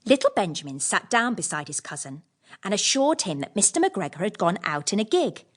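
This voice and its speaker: Animated woman reading children's stories. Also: medium pitch, brisk. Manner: expressive storytelling. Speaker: audiobook narrator. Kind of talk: scripted children's storybook narration.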